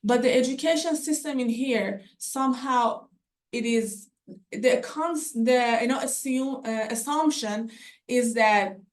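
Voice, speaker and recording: A young woman with a Persian accent giving a conference presentation, hesitant, with many fillers and restarts. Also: a little high. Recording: voice from far off the mic.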